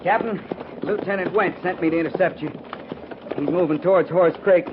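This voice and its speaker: Dutiful army sergeant, male actor, plain talker, fairly high voice, vintage radio drama on a hissy, dull recording. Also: a little fast, natural voice. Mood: dutiful reporting. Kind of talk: 1950s radio drama acting. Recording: noisy, muffled 1950s radio recording.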